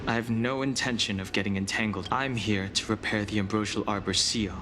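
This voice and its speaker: A man voicing a calm, reserved young dragon warrior in a video game, speaking in a formal, measured, serious way. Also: on the low side, noisy background, close to an everyday voice. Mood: composed. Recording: loud music behind the voice.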